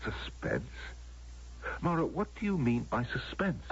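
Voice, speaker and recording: A man's voice: mid-range voice, sing-song, measured pace, creaky, breathy edge, exaggerated voice. An actor playing an earnest, troubled lover who conforms to his society, questioning dramatically in a radio drama. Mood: puzzled, concerned. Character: earnest, troubled young man, loving but conformist. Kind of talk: old-time radio drama acting. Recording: dull lo-fi radio drama recording.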